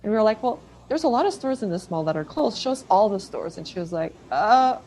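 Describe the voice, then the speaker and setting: A woman's voice: mid-range voice, expressive, fast talker, a little mellow, a little hiss or hum, low-quality recording. A woman filmmaker answering interview questions in a casual, conversational way. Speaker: film director and screenwriter interviewed as guest.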